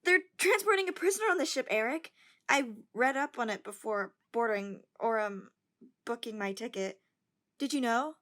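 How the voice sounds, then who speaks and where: A woman's voice: a little high, animated, normal speed, a bit bright. A woman in an amateur audio drama playing a curious, outspoken young passenger who chats and lectures. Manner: chatty, lecturing.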